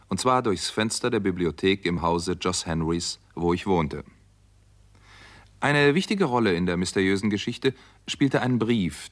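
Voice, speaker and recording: An actor playing a sober American doctor who narrates a German radio crime drama in a measured, matter-of-fact way. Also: male voice, fairly low pitch, fairly bright tone.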